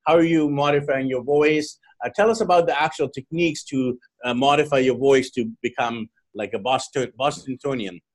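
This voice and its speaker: A man's voice: mid-range voice, normal speed, hardly any pauses. A man hosting a podcast interview, enthusiastic and full of praise for his guest. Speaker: podcast host.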